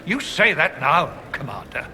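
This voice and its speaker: An actor playing a haughty, sceptical church chancellor in a fantasy game, speaking in a pompous, biting, indignant manner. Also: male voice, fairly high pitch, big pitch swings, normal speed, sharp tone, exaggerated voice. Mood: scornful. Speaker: game character. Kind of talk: fantasy video game voice acting.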